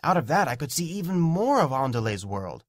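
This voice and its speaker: Man narrating a gentle story, very expressive pitch. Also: a little fast, dry recording, clean studio sound. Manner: childlike, simple, earnest storytelling. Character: gentle, innocent imaginary friend, a big male dog. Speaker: narrator and actor. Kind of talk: scripted first-person story narration. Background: music.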